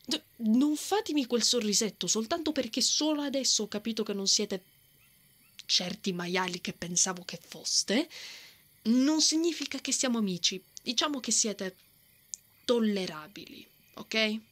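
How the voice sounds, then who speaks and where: A woman's voice: fairly high pitch, expressive, measured pace, a bit bright. A woman playing a brave, stubborn and protective female knight in a fantasy ASMR roleplay. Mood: stubborn, defensive. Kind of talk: ASMR romantic fantasy roleplay, acted monologue.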